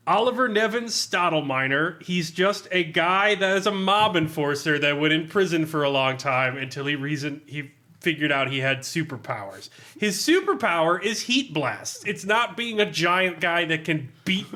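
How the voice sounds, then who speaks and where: Male podcast host, high and bright voice, sardonic and amused, casual read-aloud commentary. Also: animated, unhurried. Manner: amused, sardonic, often laughing while talking. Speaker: podcast host reviewing a tabletop game. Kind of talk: humorous casual review, reading aloud with commentary.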